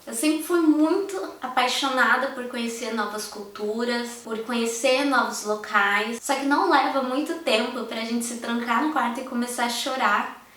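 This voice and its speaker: Young brazilian woman venting on her vlog, brazilian accent, highish voice, super echoey room, sits back from the mic. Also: moderate pace, a bit bright, distant mic. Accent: Brazilian Portuguese. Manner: frank, impassioned, speaks straight to viewers. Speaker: vlogger. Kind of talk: candid personal vlog.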